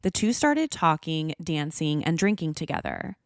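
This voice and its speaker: A woman's voice: low voice, very animated, normal speed, no echo, clean studio sound. A woman hosting a podcast, reading scripted true-crime narration. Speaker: podcast host.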